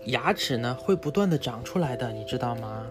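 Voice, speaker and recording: A man's voice: mid-range voice, animated, a little fast. Dad explaining things to his child over music. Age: adult, father of a young child. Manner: explains step by step with frequent check-in questions. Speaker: father and host teaching his child about animals. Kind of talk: casual educational chat with a child, explaining from a book. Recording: music behind the voice.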